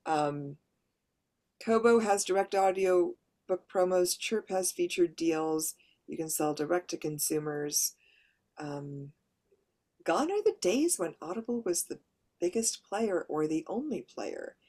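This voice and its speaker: Woman, lower pitched, practical presenter explaining things step by step to a workshop audience. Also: animated, a little slow, a little mellow. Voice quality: mid to lower female voice. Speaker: workshop presenter and audiobook narrator.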